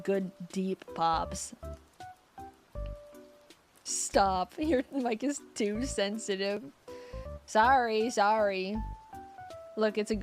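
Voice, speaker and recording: A young woman streamer in casual chat with her viewers, rambling and giggly with lots of fillers, her voice getting scratchy. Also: medium pitch.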